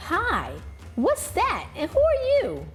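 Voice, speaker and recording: A woman voicing a curious, excited young schoolboy in a picture book, eager and questioning. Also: very high-pitched, takes it slowly, soft background music, pitched above the performer's own voice, heavily acted.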